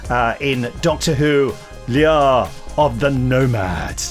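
A man's voice: mid-range voice, expressive, slow, faint music behind. A man hosting a podcast in a playful, announcer-like style. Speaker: podcast host.

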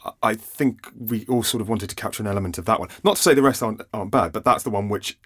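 A man talking casually on a podcast about writing an audio drama, enthusiastic and halting. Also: fairly low pitch, a bit bright, husky.

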